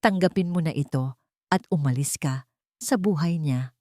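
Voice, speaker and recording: Woman acting haughty, domineering rich older mother, lowish voice. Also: normal speed, warm tone, dry recording, studio quality, natural voice. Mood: cold scorn.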